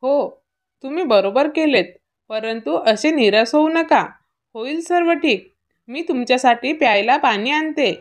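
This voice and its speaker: A woman playing a gentle village mother and wife in a cartoon story, supportive and encouraging. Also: high-pitched, expressive, unhurried. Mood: reassuring. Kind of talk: scripted cartoon story dialogue.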